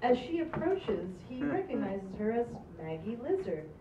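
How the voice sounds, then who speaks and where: A woman's voice: on the high side, very animated, moderate pace. A woman reading out stage directions at a live script reading. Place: small stage in a room. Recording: distant, echoey, dull recording with clear noise.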